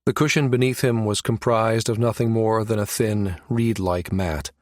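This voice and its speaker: A man's voice: on the low side, no echo, clean studio sound. A man reading scripted science fiction narration for an audiobook.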